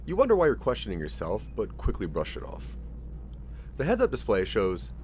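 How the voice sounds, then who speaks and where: A man's voice: fairly low pitch, wildly swooping pitch, a little fast, darkish tone, slight background noise. A man narrating a scripted interactive audio story in the second person, with a theatrical storytelling delivery.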